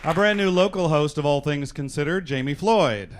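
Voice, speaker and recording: A man's voice: fairly high pitch, moderate pace. A man hosting a live stage show, introducing performers in an announcer-like way with conversational asides. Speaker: host and director introducing a cast. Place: theatre-style performance space before an audience. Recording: live hall, clear crowd noise. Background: audience noise.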